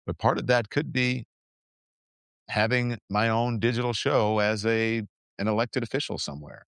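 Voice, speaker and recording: A man's voice: mid-range voice, steady tone, normal speed, gravelly, a bit bright, clean tone, no room sound. A man hosting his own show, talking earnestly and reflectively in casual conversation, with many fillers and restarts. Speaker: podcast host.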